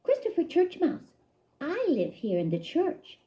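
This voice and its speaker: A woman voicing an eager young boy church mouse in a childlike, excitable way for a children's story. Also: very high-pitched, measured pace, voice pushed up, full character voice. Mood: curious.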